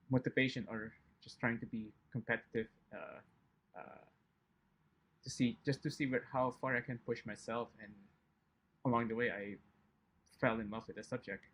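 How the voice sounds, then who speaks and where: A man's voice: lowish voice, fairly bright tone, slightly rough, poor audio. A man from Indonesia whose first language is not English, answering interview questions in a hesitant, conversational way with many fillers. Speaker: chemist guest.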